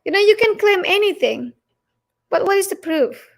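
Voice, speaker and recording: A woman with an Indonesian accent presenting a religious lecture, speaking haltingly and emphatically in challenging rhetorical questions. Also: very high voice, lively intonation, gravelly. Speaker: presenter of a religious teaching series.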